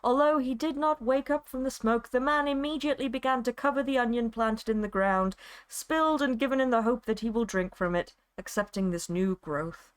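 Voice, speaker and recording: A woman with a fairly high voice performs a dramatic story reading. Her delivery is theatrical and actorly, shaped like a stage narration for a streaming audience. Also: brisk, smooth. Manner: actorly, breaking into amusement at the absurd text.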